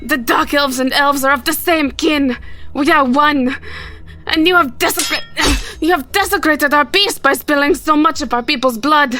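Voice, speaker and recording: A woman's voice: sits high, normal speed, over music, lightly acted. A woman playing a grave, defiant elven warrior in command, with a solemn and formal manner, in a fantasy audio drama. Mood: anguished anger.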